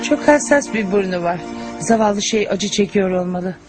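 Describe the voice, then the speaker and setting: A caring, level-headed mother from a dubbed cartoon, voiced by a woman. Her pitch is moderate and her manner calm. Music plays clearly behind her. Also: normal speed, natural voice. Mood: sympathetic.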